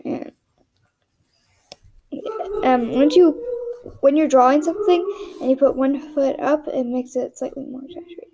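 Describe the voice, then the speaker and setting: A young woman narrating a casual drawing tutorial in a monotone, rambling way, often dropping to a whisper as she works. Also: sits high, measured pace, pauses often, noisy background. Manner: monotone, rambling, self-deprecating.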